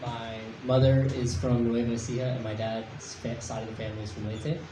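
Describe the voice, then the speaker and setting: A man's voice: mid-range voice, flat delivery, a little fast, noisy background. A male athlete from the United States introducing himself briefly and matter-of-factly. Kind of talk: self-introduction. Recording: echoey, dull sound with music behind.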